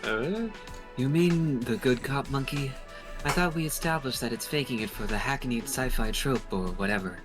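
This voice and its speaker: A man's voice: mid-range voice, moderate pace. A man streaming a game with informal, agreeable commentary over game music. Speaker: streamer playing a visual novel. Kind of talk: casual game commentary and character reading.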